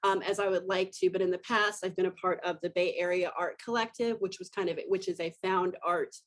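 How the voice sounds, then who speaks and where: A woman's voice: on the low side, fairly even intonation, fairly quick. A woman, a guest on a podcast, chatting about herself in an enthusiastic, rambling way. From Texas. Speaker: podcast guest, communication professor. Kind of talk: casual podcast chat.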